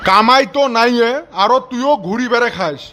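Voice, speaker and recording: A man voicing a grumpy, quick-tempered village father in a comic cartoon, scolding in a North Bengal accent. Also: extremely high pitch, music in the background. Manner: scolding, exasperated. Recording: very noisy, clicky cartoon audio track.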